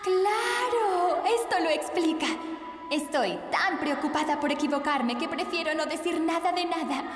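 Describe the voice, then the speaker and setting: A sweet, naive teenage girl in a cartoon. Her voice is extremely high, earnest and expressive. Music plays clearly behind her. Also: unhurried. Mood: surprised, relieved. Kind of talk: animated series dub in Spanish.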